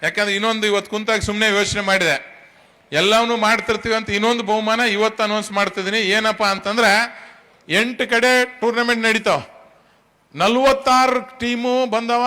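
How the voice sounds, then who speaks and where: A middle-aged man with a North Karnataka accent delivers a public speech as the organiser of an event. His voice sits high and has a bright, forceful edge. He speaks in an emphatic, colloquial way, addressing his listeners directly. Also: little pitch movement, a little fast. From Karnataka, India. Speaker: tournament organiser.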